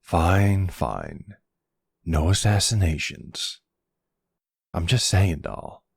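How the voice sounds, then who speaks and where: Man acting as suave middle-aged mob boss, very deep, gravelly, intimate, flirtatious, ASMR roleplay. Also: big pitch swings, extremely slow, no echo, full character voice. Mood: teasing, flirtatious.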